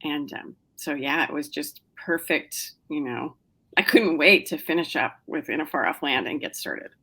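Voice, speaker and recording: A middle-aged woman speaks with a low, easy voice as she answers an interviewer's questions about her writing. She talks casually and chattily, with frequent ums and restarts. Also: normal speed, fairly bright tone, breathy edge, low-quality recording. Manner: chatty, many fillers and restarts. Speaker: novelist guest.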